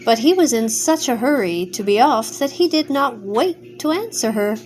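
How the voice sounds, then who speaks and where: An older woman reading a bedtime story aloud with an expressive storytelling cadence. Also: fairly high pitch, normal speed, a little hiss or hum, rough recording.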